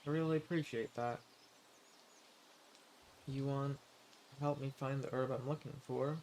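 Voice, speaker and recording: A man playing a friendly, eager adventurer and forager in a fantasy roleplay audio drama, speaking politely and earnestly with gentle hesitation. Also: mid-range voice, fairly even intonation, measured pace, slight background noise, close to an everyday voice. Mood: friendly, hesitant. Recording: clear outdoor nature ambience with birdsong. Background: forest ambience, birds.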